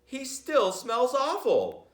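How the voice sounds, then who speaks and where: A man's voice: extremely high pitch, wildly swooping pitch, slow pace, a bit bright, a little hiss or hum, voice pushed up, heavily acted. A man reading the part of a worried but patient storybook mother, in a roomy recording. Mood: concerned. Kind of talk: storybook read-aloud. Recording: roomy, echoey sound.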